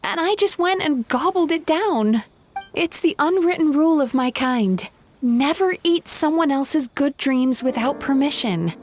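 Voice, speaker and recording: A polite, gentle young yokai woman who eats dreams, speaking softly and apologetically in a somewhat high voice. Faint game music plays underneath her. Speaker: spa worker and psychologist. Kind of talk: video game voice acting.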